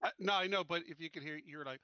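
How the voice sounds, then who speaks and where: A man playing a tabletop roleplaying game, talking casually and haltingly as he plans, on a slightly dull, dry online voice chat. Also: on the high side, pushed and bright, smooth, dry recording.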